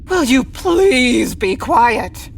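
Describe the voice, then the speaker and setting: A woman playing an earnest, grandiose narrator of an old-time radio adventure serial, dramatic, measured and a little pompous. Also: high voice, big pitch swings, vocal fry, slight background noise, exaggerated voice. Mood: irritated.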